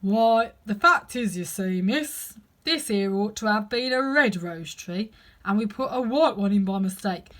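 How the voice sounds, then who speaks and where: A woman's voice: medium pitch, animated, measured pace, fairly bright tone. A woman voicing a humble, anxious male gardener in a storybook, with deferential, rustic speech. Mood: apologetic, anxious.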